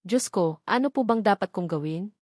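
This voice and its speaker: A woman's voice: mid-range voice, animated, moderate pace, darkish tone, no room sound, clean studio sound, lightly acted. Hesitant young provincial woman, voiced by a woman narrator. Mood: anguished. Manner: hesitant, respectful. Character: dutiful, troubled young provincial woman, eldest daughter. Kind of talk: audio drama storytelling.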